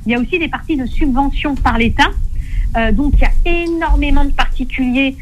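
A woman co-hosting a radio call-in job segment, chatting with a caller and pitching the job like a salesperson. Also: brisk, a little hiss or hum, low-quality recording. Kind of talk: casual radio call-in chat. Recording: radio broadcast.

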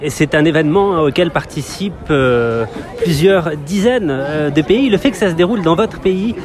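A man's voice: on the high side, expressive, normal speed, crowd noise. A man working as a reporter, putting interview questions and linking between guests in a journalistic style. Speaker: radio or TV reporter.

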